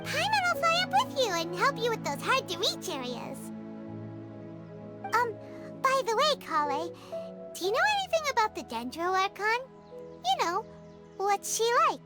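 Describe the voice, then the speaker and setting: A woman voicing a small, bubbly, childlike fairy companion in an anime-style game, with a squeaky, perky, curious and chatty delivery. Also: very animated, normal speed, long pauses. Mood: cheerful. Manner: perky, refers to herself in third person. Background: music.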